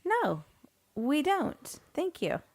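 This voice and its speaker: A woman co-hosting a casual podcast from home, giggly and quick to defend herself. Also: high voice, huge pitch swings. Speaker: podcast co-host. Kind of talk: casual chat.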